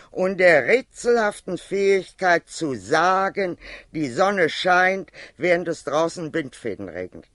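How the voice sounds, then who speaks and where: A man formally reading a philosophical essay aloud in a measured, emphatic manner. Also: high-pitched, fairly bright tone, dry recording, clean studio sound.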